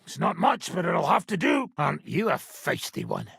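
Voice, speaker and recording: Gravelly old robber baron. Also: male voice, on the high side, sing-song, normal speed, a bit bright, no echo, clean studio sound, heavily acted. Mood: gruff, matter-of-fact. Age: older. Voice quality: rough, raspy, gravelly. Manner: blunt, profane, sardonic. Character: foul-mouthed old wanted robber baron, gruff war-band leader. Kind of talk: video game character dialogue.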